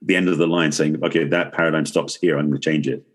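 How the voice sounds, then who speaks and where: A man's voice: very deep voice, steady tone, quick pace, husky. A middle-aged man from England with an English accent, talking reflectively as a guest in a casual podcast conversation. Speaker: podcast guest, relationship expert and author.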